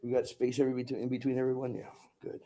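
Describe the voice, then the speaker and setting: Guy running a county meeting, talks fast, noisy room mic. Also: mid-range voice, completely flat delivery. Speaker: county judge chairing a commissioners meeting. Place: county courtroom with wood paneling.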